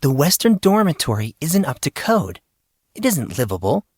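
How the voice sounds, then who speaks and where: A man voicing a calm, firm teenage girl, an elder sister with a composed, corrective manner in an audio story. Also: a little high, normal speed, breathy edge, no room sound, studio quality, voice pushed up.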